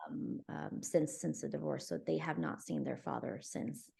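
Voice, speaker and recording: A woman in her forties, from Afghanistan and raised in New York City, telling her life story as a podcast guest in a warm, reflective way over a video call. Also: deep voice, fairly even intonation, moderate pace, gravelly, slightly rough. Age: 40s. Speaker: guest contributor. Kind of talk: conversational personal story.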